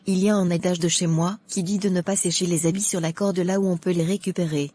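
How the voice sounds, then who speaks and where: A woman playing a sensible, frank colleague who lends money in an animated moral tale. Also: lowish voice, fast, natural voice. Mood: reproachful.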